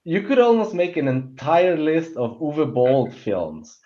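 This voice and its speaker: A man's voice: a little high, sing-song, slow. A man in a casual panel chat about opinions, rambling and stammering as he often restarts his sentences. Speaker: panelist on a film discussion show. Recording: dull, muffled lo-fi audio.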